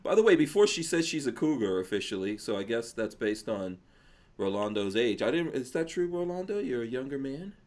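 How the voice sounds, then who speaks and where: A man hosting a podcast in a casual chat, reading out viewer comments in a relaxed, rambling way. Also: medium pitch, fairly quick. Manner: relaxed, chatty, drifts into mumbled asides. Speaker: podcast host.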